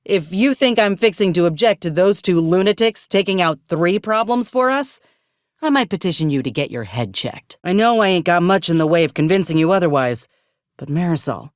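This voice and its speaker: An actress voicing a frank, loyal frontier soldier in a video game. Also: female voice, fairly low pitch, animated, moderate pace, no echo, studio quality, close to an everyday voice. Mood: dry, wry. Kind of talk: scripted video game character dialogue.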